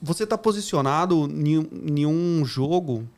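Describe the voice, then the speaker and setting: A man's voice: on the high side, lively intonation, measured pace. A man hosting a podcast, chatting casually with lots of slang and jokes. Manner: slangy, jokey, says "cara" a lot. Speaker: podcast host. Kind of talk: casual chat.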